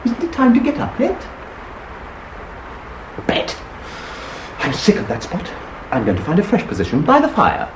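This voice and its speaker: An actor with an English accent playing a grumbling, put-upon husband in a farce, exasperated and dryly sarcastic. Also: male voice, high voice, wildly swooping pitch, moderate pace, pauses often, background noise. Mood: peevish. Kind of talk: vintage British stage farce acting.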